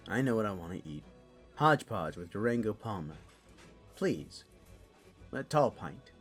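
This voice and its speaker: A man's voice: fairly low pitch, very animated, measured pace. A man playing a cheeky, pompous raccoon lord of mischief in a fantasy audio drama, teasing and dry. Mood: wry. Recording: background music under the voice.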